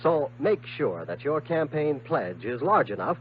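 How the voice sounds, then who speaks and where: Animated American male radio announcer. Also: fairly high pitch, unhurried. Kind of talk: 1950s radio announcing, scripted. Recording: muffled, noisy 1950s radio recording.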